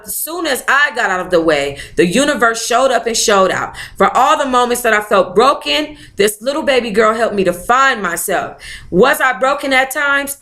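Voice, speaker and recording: A woman hosting a book club, reading a memoir aloud and chatting in a sassy, informal, expressive way. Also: mid-range voice. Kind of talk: audiobook-style reading with casual, chatty asides.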